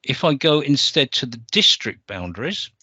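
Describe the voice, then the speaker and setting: A man from the UK presenting a software demo over a screen share, explaining step by step and correcting himself as he goes. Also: medium pitch, sing-song, normal speed, husky, no room sound, highs cut off.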